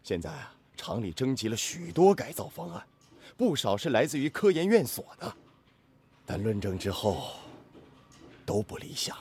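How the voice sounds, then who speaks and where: An actor playing an encouraging, measured senior factory director in a Chinese radio drama. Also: male voice, medium pitch, very animated, a little mellow, husky. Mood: pleased, measured.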